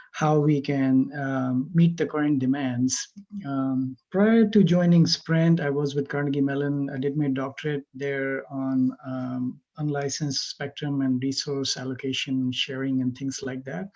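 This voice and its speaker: A man's voice: mid-range voice, mellow, lo-fi sound. A man, a director at a telecom firm, introducing himself on a video call in a friendly, fluent way. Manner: friendly, fluent, lightly self-deprecating.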